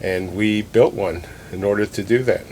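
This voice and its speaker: A man's voice: normal speed, dark tone. A man answering interview questions conversationally, explaining his points and repeating phrases for emphasis. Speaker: loudspeaker company president, interview guest. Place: listening room in a home. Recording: clear background noise with low rumble.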